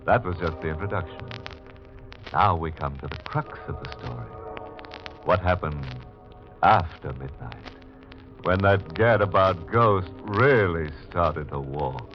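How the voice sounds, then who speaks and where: An actor playing a wry, macabre mystery host, narrating theatrically in a sardonic 1940s radio style. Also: male voice, sits low, lively intonation, unhurried. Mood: wry, sinister. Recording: muffled 1940s radio recording with music.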